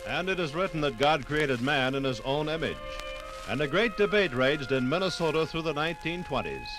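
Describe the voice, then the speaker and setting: A man's voice: a little high, expressive, brisk, music in the background, low-quality recording. An American man reading scripted 1950s radio documentary narration in a formal, grave manner.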